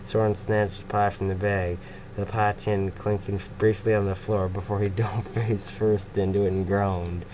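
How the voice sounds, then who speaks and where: Male story reader, casual, low-pitched, clear background hiss. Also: level tone, normal speed. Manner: casual, breaks off to laugh and comment. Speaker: fanfiction reader. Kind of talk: first-person story reading.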